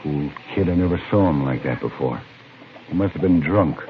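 A man's voice: extremely low pitch, animated, moderate pace. A man playing a folksy, plainspoken rancher with a rural American accent in a 1950s radio western. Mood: weary, sore. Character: folksy, easygoing Old West rancher. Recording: muffled, noisy vintage radio recording.

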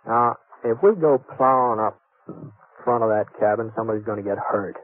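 A muffled 1950s radio western recording of a man acting a calm cowboy drifter. His voice sits fairly low, and he talks in a folksy, halting way, repeating words as he reasons things out. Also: close to an everyday voice. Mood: calm, hesitant. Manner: hesitant, folksy drawl with stammered repeats.

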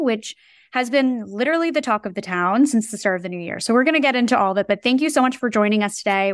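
A woman's voice: mid-range voice, no room sound. Bubbly woman podcast host, dry sound. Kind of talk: upbeat ad read and interview hosting.

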